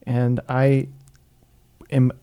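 A man's voice: mid-range voice, completely flat delivery, very slow, dark tone, clear, resonant tone. A young priest co-hosting a podcast, teasing his partner and rambling with many filler words. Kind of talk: casual chat.

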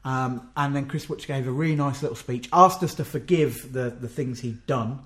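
A man at an ordinary pitch chats easily and recaps a live event. He affirms his partner often and fills gaps with "um" as he goes. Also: moderate pace, a bit bright. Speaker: podcast co-host. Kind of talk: casual wrestling chat.

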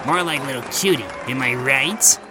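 A woman's voice: extremely low pitch, normal speed, over music, a crowd in the background, rough recording. A woman voicing a brash, foul-mouthed metal armadillo who announces acts as a club emcee in a comedic audio story. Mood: brash showmanship. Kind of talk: comedic audio drama acting.

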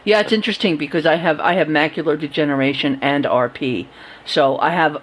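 A woman's voice: deep voice, brisk, slightly rough. A woman hosting a conversational interview, asking questions in a casual, informal way. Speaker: host, interviewer.

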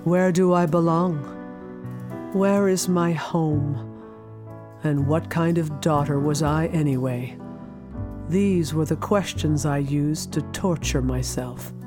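A grieving woman, a self-doubting daughter haunted by guilt. She speaks slowly and confessionally, as in an intimate audio drama monologue, with prominent music behind her. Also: sits low. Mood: reflective, regretful. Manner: confessional, hesitant. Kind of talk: first-person audio drama narration. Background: original music.

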